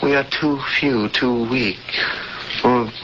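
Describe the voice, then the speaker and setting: A man's voice: medium pitch, steady tone, takes it slowly, a bit bright, muffled recording. An actor playing an earnest, resigned male alien prisoner in a 1970s radio drama, on an old hissy recording.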